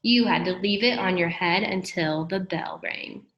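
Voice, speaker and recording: A jumpy, good-natured grown man from a school storybook, as a woman reads him. He speaks earnestly and with some drama. The room echoes clearly around the voice. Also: fairly low pitch, unhurried, muffled recording, lightly acted. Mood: haunted.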